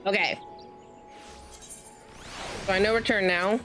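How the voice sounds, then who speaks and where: A woman's voice: medium pitch, fairly even intonation, slow pace, fairly bright tone. Chatty woman streaming over game music. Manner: chatty, self-interrupting, playful asides while snacking; reads game dialogue aloud, stumbling over fantasy names. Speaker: streamer, gamer. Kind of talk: casual let's play livestream commentary. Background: video game music.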